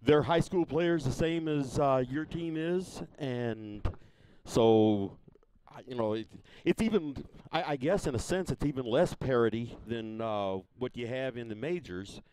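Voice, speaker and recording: A man calling a high school baseball game from the press box, his voice smooth and resonant. He talks in a folksy, easygoing way, circling back and restarting his sentences. The sound is dry and close. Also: darkish tone, dry recording, rough recording. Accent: Missouri. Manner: folksy, unhurried, many fillers and restarts. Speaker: play-by-play broadcaster. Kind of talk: casual local sports broadcast.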